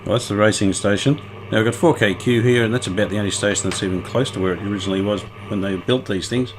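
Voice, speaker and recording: A man with a fairly low voice talks through a hands-on repair job. He is casual and unscripted, thinking aloud as he works his way through each step. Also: steady tone, fast, noisy background. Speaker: host of radio repair video.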